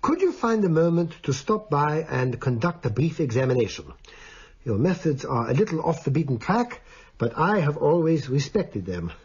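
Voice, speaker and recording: A gentle Viennese doctor in a radio play. His voice is fairly high and husky, with lively rises and falls, and he questions precisely and formally. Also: normal speed, heavily acted. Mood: musing, precise. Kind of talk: radio drama acting. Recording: slightly dull radio recording.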